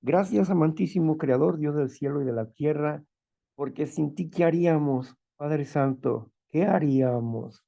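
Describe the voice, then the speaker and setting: A man with a strong, loud voice who sounds stern without being angry, leading a spiritual talk and prayer. He repeats phrases and often checks in with "¿no?" and "¿verdad?". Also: fairly high pitch, a little slow, vocal fry. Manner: fervent prayer calling on the Father. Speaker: group leader.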